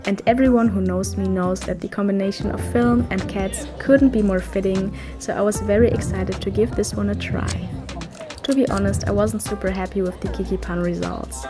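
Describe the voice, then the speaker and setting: A woman's voice: mid-range voice, steady tone, moderate pace, over music. A woman in her thirties from Germany, with a German accent, talking in a casual vlog style as a street photographer. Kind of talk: casual vlog talk and narration.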